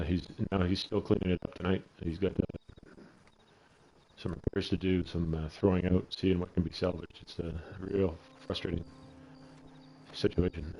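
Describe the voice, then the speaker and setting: Deep-voiced Canadian man, rambling. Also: vocal fry, dark tone, a little hiss or hum, rough recording. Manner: rambling and profane. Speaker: gaming video host. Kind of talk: casual gameplay commentary.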